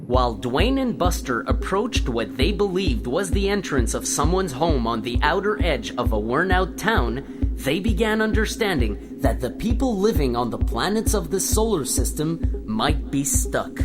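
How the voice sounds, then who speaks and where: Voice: mid-range voice, very animated, normal speed, over music, natural voice. A narrator reading dramatic, suspenseful scripted narration for a sci-fi radio play, posing rhetorical questions.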